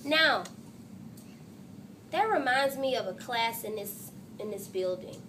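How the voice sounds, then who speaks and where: A woman teacher reading a storybook to her class and pausing to ask coaxing, instructive questions. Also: on the high side, expressive, a bit bright, a little hiss or hum. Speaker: third-grade teacher. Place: classroom with children answering.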